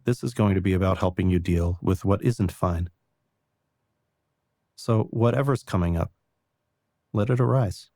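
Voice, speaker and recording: A man guiding a meditation in a calm, gentle and unhurried way, reassuring the listener with soft spoken instructions. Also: low-pitched, dry recording, studio quality. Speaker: meditation guide.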